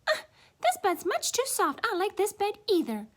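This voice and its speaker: Fussy little fairy-tale girl, acted by a woman: high, singsong, exclaiming, hugely animated pitch. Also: moderate pace, slight background noise, exaggerated voice. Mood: fussy complaining. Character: little girl Goldilocks, hungry, fussy, easily tired. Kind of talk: children's storybook character voice.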